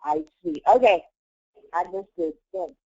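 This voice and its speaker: A woman on a muffled conference call, asking questions in a halting, self-correcting way. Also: on the low side, lively intonation, mellow, no room sound.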